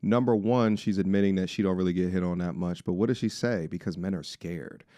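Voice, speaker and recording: A man in his forties with a low voice and a dry, close sound. He delivers opinionated commentary, preaching emphatically and throwing out rhetorical questions. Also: brisk, warm tone, dry recording. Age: 40s. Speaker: commentator reacting to clips.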